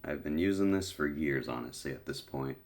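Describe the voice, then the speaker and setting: A man's voice: extremely low pitch, fairly quick. A young man with a stuffy, congested voice casually explaining his method in a tutorial, with lots of "um"s. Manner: relaxed, filler-heavy explaining with many "um"s. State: congested from a cold. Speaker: online seller teaching product research. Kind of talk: casual screen-recorded tutorial.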